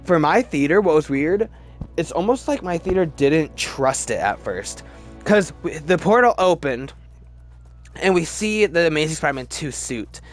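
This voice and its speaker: Higher-voiced man gushing over films. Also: lively intonation, moderate pace, soft background music. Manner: gushing fan enthusiasm, repeats words for emphasis. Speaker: video host ranking films. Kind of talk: casual opinionated commentary.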